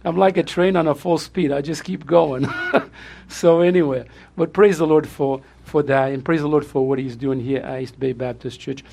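A man's voice: fairly high pitch, steady tone, normal speed, vocal fry, darkish tone. A man with a Portuguese accent, a pastor welcoming his church, effusive and repetitive, laughing often.

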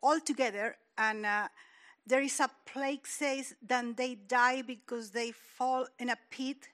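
Woman, audience member, hesitant, bright, slightly high voice. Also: fairly even intonation. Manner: hesitant, halting speech with fillers. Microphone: handheld mic.

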